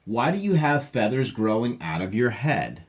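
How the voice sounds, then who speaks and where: A man's voice: medium pitch, very animated, normal speed, lightly acted. A man reading as a curious, blunt letter-writer who puts wondering questions to odd storybook animals with exaggerated delivery. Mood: nosy wonder. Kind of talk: children's storybook read-aloud.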